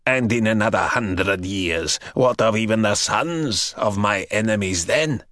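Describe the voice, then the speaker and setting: A man voicing an ancient buried male vampire who speaks in slow, mocking, archaic phrases. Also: fairly low pitch, expressive, fairly bright tone, no room sound, studio quality. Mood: brooding. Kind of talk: audiobook character voice.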